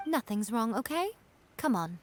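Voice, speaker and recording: An actress playing a sly, proud yokai shrine maiden in a video game, with a poised, theatrical and condescending manner. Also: female voice, on the high side, sing-song, moderate pace, heavy background noise. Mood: teasing. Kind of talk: scripted game dialogue acting.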